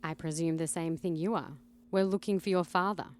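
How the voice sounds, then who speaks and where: A woman's voice: fairly low pitch, steady tone, a little fast, a little mellow, lightly acted. A woman playing a bossy, businesslike space-company agent in an audio drama.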